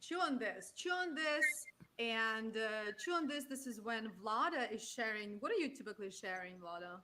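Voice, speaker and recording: A woman's voice: on the high side, animated, moderate pace, heavy background noise. A woman from Ukraine, co-hosting a casual live-stream chat. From Odessa, Ukraine. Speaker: show co-host.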